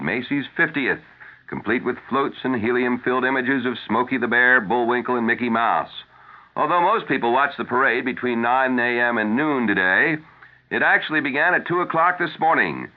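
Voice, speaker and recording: An American man reading scripted news as a radio anchor on a 1970s broadcast. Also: mid-range voice. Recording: thin narrow-band 1970s radio broadcast.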